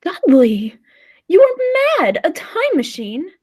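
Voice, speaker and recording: Woman playing a high-voiced, timid, polite guest. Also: wildly swooping pitch, slow, darkish tone, full character voice. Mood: astonished. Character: polite, timid, skeptical dinner guest of the professor. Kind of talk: 1940s radio play acting.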